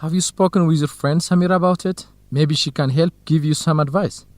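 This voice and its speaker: A man acting a gentle, supportive young researcher and loyal friend in a radio drama, speaking in measured, carefully enunciated lines. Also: on the high side, steady tone, no echo, close to an everyday voice. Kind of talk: radio drama acting, clear dialogue for language learners.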